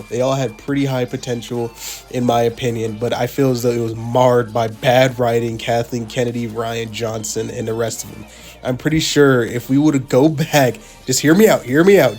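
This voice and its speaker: A man with a hoarse voice from being sick, giving heated opinion commentary over a video, repeating himself for emphasis. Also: medium pitch, darkish tone. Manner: pleading, repetitive emphasis.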